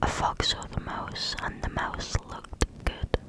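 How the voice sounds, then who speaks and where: A woman reading children's stories in a soft bedtime whisper. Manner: soft unvoiced whisper, slow and sing-song. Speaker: storyteller.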